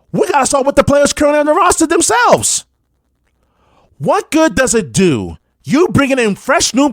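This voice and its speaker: A man's voice: high voice, no room sound, studio quality. A man working as a sports radio host, delivering commentary and updates.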